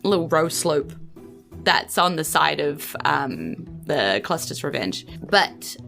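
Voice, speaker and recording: A woman's voice: low-pitched, very animated, slow, creaky, a bit bright, faint music behind. A woman narrating a tabletop game as game master in a casual, conversational, improvised way.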